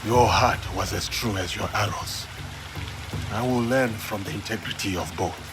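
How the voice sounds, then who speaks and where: An actor playing a humble, resolute warrior student in a game cutscene, formal and respectful. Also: male voice, on the low side, normal speed, music in the background, natural voice. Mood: humble, earnest. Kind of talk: dramatic game cutscene acting.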